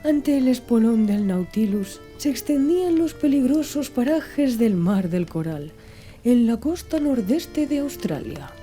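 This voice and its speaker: A woman reading scripted literary narration as an audiobook narrator, in a measured, formal way. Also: on the high side, mellow. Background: music.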